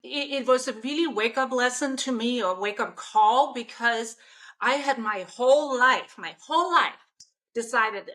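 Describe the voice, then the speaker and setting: A woman's voice: on the high side, a little slow, pushed and bright. A middle-aged woman from Denmark with a Danish accent, talking earnestly and with enthusiasm as a guest on a business interview podcast. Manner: earnest, enthusiastic storytelling with self-quotes. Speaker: guest, mindset coach.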